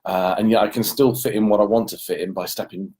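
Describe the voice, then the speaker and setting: A man's voice: on the low side, steady tone, fast talker, darkish tone, breathy edge. A man co-hosting a casual, unscripted question-and-answer chat as a wedding photographer.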